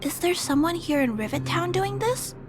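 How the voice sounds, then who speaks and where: A woman voicing a gentle, curious young girl in a video game, polite and musing thoughtfully aloud. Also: very high-pitched, expressive, normal speed. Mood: curious, pondering. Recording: game music behind the voice.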